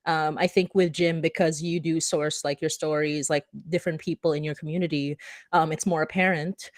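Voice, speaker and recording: A woman's voice: low voice, fairly even intonation, moderate pace, no room sound, studio quality. A woman hosting a casual podcast conversation, talking in a chatty, informal way sprinkled with "like". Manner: chatty and informal, peppering sentences with "like". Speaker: host.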